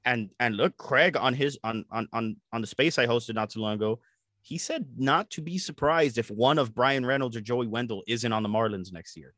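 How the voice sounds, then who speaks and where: A man hosting a casual sports podcast, talking in a rambling, animated way and often repeating his words. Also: mid-range voice, normal speed, no echo, clean studio sound. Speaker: podcast host.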